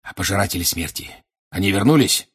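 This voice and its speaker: A man acts out a grim older male wizard for an audiobook. The voice is low and husky, with deliberate, probing questions and an insistent menace. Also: animated, exaggerated voice. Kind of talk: audiobook character acting.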